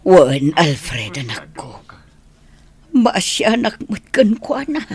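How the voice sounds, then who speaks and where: Low, husky, slow older mother. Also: big pitch swings, a little mellow, heavily acted. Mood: consoling. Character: older, consoling mother of a grown son. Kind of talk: Ilocano radio drama acting.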